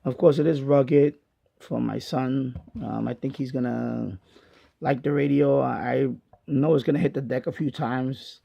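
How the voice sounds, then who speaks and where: A middle-aged man giving a casual, unscripted product review as a hobbyist, thinking aloud in a halting, repetitive way. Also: mid-range voice, fairly even intonation, vocal fry, muffled recording. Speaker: radio hobbyist reviewing a portable radio.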